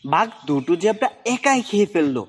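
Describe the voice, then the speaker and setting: A storyteller narrates a children's animal fable from a script. The voice sits in a middle register and moves up and down with very lively intonation. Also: medium pitch, fairly quick.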